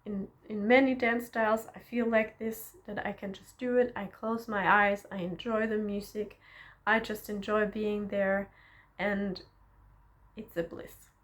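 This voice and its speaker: A woman talks slowly and unhurriedly as she teaches self-development to camera, her pitch sitting in the middle range. She is reflective and encouraging, pausing to check in with a gentle questioning tag. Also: little pitch movement. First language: Danish. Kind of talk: personal teaching monologue to camera.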